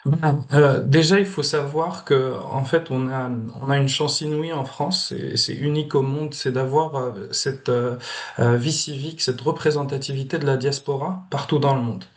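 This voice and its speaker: A Moroccan-born man in his thirties talks over a video call at a middling pitch. He speaks hesitantly, stopping often on filler sounds as he tells his story. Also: steady tone, moderate pace. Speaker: guest activist. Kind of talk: casual video call interview.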